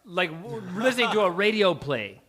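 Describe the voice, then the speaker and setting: A man's voice: high voice, sing-song, moderate pace, fairly bright tone. A man in casual, joking conversation, teasing the people around him.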